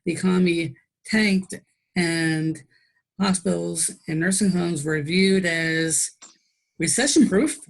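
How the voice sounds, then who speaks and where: A woman's voice: lowish voice, takes it slowly, gravelly. A woman in a conversational interview, a nurse explaining her views in an emphatic, pointed way.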